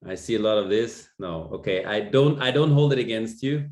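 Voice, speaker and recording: A man's voice: fairly low pitch, fairly quick, a little mellow. A man with a German accent giving a masterclass presentation, hesitant with many ums and restarts. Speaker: lecturer. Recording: echoey room.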